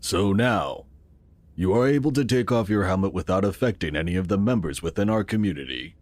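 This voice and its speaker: A man plays a visionary founder of a futuristic city in a sci-fi audio drama. His low voice rises and falls dramatically as he explains things with calm, formal conviction. The sound is close and dry. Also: dry recording. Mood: instructive. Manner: measured, explanatory, formal, with rhetorical questions.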